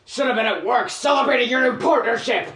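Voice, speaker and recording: Somebody doing a gruff bossy scrooge for a radio play, earnest, big declaiming delivery, roomy echo. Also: mid-range voice, brisk, fairly bright tone, natural voice. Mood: stern, scolding. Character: gruff reformed boss Scrooge, earnest and commanding. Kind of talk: amateur radio play acting.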